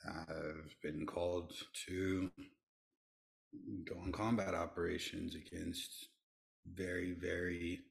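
A man's voice: low-pitched, flat delivery, takes it slowly, creaky. A middle-aged man speaking earnestly as a podcast guest, often restarting and repeating himself. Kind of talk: reflective interview talk.

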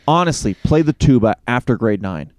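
A man's voice: mid-range voice, animated, normal speed, a little mellow, dry recording. A man co-hosting a comedy podcast, chatting casually and joking around. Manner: joking, slips into silly put-on voices. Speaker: podcast co-host.

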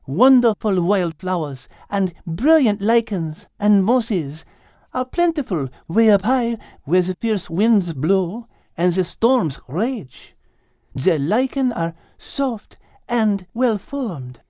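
Elegant high-pitched French lady cat. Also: mellow, no echo, clean studio sound. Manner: precise, enthusiastic explaining. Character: elegant female French cat, clever, botany-loving teacher. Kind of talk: children's audiobook character voice.

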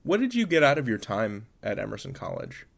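A man's voice: on the low side, huge pitch swings, brisk, vocal fry. A man hosting an interview podcast, asking questions in a conversational way.

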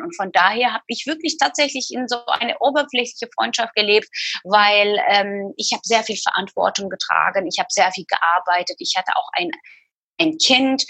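A woman's voice: mid-range voice, fairly bright tone, slightly rough, no room sound. A middle-aged woman from Turkey, speaking earnestly and confessionally as an interview guest, often searching for her words. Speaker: guest, former TV presenter. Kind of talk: personal interview.

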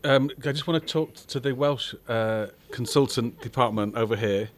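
A man's voice: mid-range voice, normal speed. A man hosting an audience Q&A after a film screening, jokey and steering the talk. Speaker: Q&A host. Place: cinema auditorium.

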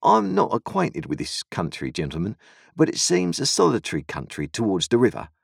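A man voicing a cunning, secretive stranger who asks probing questions in a sly, drawn-out way. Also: lowish voice, huge pitch swings, creaky, husky, dry recording, clean studio sound, heavily acted.

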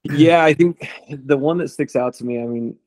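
A man in his late thirties, a returned missionary, telling stories in a relaxed, rambling interview style. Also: a little high, moderate pace, no room sound. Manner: relaxed, rambling with fillers and restarts. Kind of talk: conversational interview storytelling.

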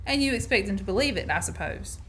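A woman's voice: medium pitch, animated, quick pace, a bit bright, lightly acted. A woman acting a feisty, skeptical young woman in a radio play, prim and challenging. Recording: noisy student radio play recording.

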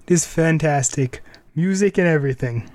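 A man's voice: fairly high pitch, moderate pace, a little mellow. A man hosting a casual podcast, chatting informally and a little hesitant when he improvises. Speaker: podcast host.

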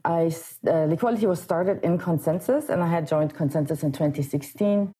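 A woman in her thirties from Germany, answering interview questions in a measured way with frequent pauses. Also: lowish voice, warm tone, slightly rough. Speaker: crypto wallet co-founder, guest.

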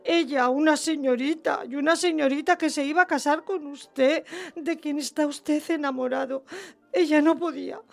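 Actress as humble young maid for radio theatre: extremely high-pitched, creaky, halting and emotional, faint music behind. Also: female voice, fairly even intonation. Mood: wounded, insistent. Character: humble, devoted young maidservant, bashful and distraught.

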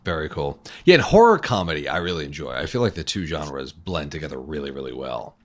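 A man's voice: extremely low pitch, sing-song, brisk. A man interviewing a guest, asking questions casually and reacting with short encouraging remarks.

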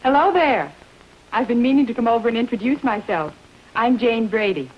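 A woman's voice: on the high side, animated, normal speed, a little hiss or hum, lightly acted. A woman playing a friendly, helpful housewife neighbor in a vintage soap commercial, with a salesy delivery. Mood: chipper. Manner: chipper, salesy. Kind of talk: vintage mid-century commercial acting. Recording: dull, rough vintage radio advert recording.

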